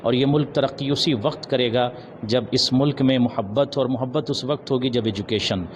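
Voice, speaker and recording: Man speaking over loud street noise. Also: mid-range voice. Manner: measured, formal Urdu-laced diction with pauses and some English words. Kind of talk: earnest interview answer in a preaching manner. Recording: noisy outdoor urban street recording with traffic. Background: loud street ambience and vehicles.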